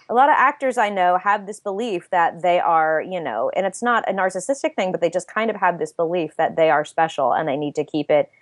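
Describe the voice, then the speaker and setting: A young woman speaks with a soft, soothing voice that sits a little low for a woman. She talks in a thoughtful, self-searching way, circling back and restarting her phrases. The sound is dry and close to the mic. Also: no room sound. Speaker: interview guest, writer and voice actor. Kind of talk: casual interview chat.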